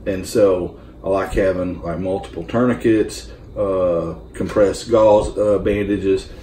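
A man in his thirties, a guest in a home kitchen, calmly talking through survival gear in a practical way with many fillers. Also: sits low, slow. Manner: steady, practical, hesitant with fillers. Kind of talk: casual explanatory chat. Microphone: far camera. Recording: roomy kitchen sound from a distant camera, faint hum.

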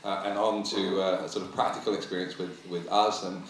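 A middle-aged man with a low voice introduces the next speaker, stumbling over fillers as he goes. He sits at a table mic in a large hall that rings with reverb, his voice heard from a little way off. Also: little pitch movement, a little hiss or hum. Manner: halting, heavy with ums and uhs. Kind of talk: symposium introduction. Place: large museum auditorium. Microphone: stand mic at table. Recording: very echoey hall, mic from a distance.

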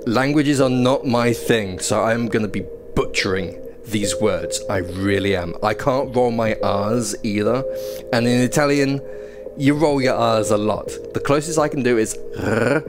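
Chatty young man playing a VR game. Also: on the low side. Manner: chatty, self-deprecating, reads prompts aloud. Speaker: gaming YouTuber. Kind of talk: first-impressions gameplay commentary. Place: small indoor room playing VR. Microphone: headset mic. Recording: game music behind.

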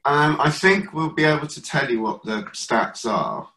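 A man's voice: a little high, expressive, moderate pace, sharp tone, poor audio. A middle-aged man hosting a video call from a room at home, joking and chuckling as he asks questions. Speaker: host. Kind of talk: casual video-call chat.

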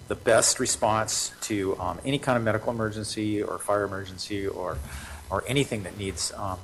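A man speaks off the cuff in a lowish voice. He is halting and searches for his words, with faint ambient noise around him. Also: fairly even intonation, low-quality recording. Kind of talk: spontaneous public remarks.

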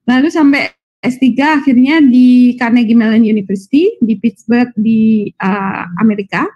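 A woman's voice: fairly high pitch, fairly even intonation, measured pace, mellow. A woman with an Indonesian accent giving an informal, somewhat hesitant lecture over a video call. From Malang, Indonesia. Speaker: guest lecturer, university professor. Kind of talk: informal, improvised online lecture. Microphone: video call microphone. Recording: slightly dull video call audio.

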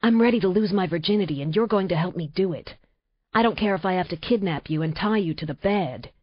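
A woman voicing a stubborn, spirited young woman in love, with bright, emphatic exclamations. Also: on the low side, quick pace, lightly acted. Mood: defiant. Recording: dry, slightly dull audiobook recording.

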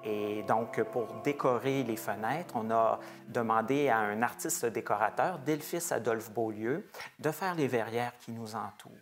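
A man in his forties, a museum research director, explaining the history of a chapel's stained glass in a measured, hesitant way with frequent fillers. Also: mid-range voice, soft background music. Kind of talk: explanatory heritage talk. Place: small wood-paneled chapel, indoors.

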